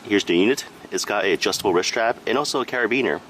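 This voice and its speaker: A man with a very low-pitched voice walks through a product review. His delivery is plain and matter-of-fact, and there is a faint noise in the background. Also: racing, a bit bright, low-quality recording. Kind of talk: step-by-step product review narration.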